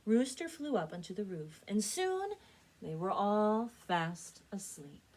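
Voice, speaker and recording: A woman speaking as a children's librarian and storyteller, with an expressive, clearly enunciated read-aloud delivery. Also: mid-range voice, measured pace. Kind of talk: children's storytelling and book recommendations.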